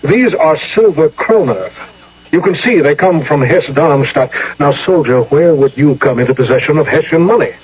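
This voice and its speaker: A man acting a grave, commanding general in a radio drama, speaking with measured authority. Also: on the high side, expressive, slightly rough. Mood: stern, probing. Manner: measured, authoritative interrogation and orders. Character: grave, commanding male general of the Revolutionary War. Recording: muffled old radio broadcast.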